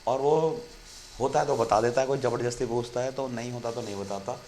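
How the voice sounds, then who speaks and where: Male channel host, casual explainer, mid-pitched, conversational, light background noise. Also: steady tone, brisk, a little mellow. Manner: conversational, keeps saying "friend" to viewers.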